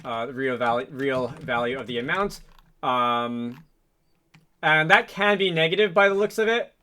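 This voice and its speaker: Guy doing a casual coding walkthrough, bright voice, kinda rambly and correcting himself, typing and noise behind him. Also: medium pitch, normal speed. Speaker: programming video host, game developer. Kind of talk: casual unscripted coding tutorial, thinking aloud. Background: computer keyboard typing and mouse clicks.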